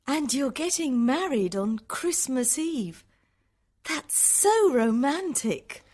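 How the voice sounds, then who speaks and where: Woman acting a high-voiced, kindly young woman. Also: lively intonation, slow, a little mellow, exaggerated voice. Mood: warm, soothing. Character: kind, cheerful, soothing young woman. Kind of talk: acted audiobook dialogue.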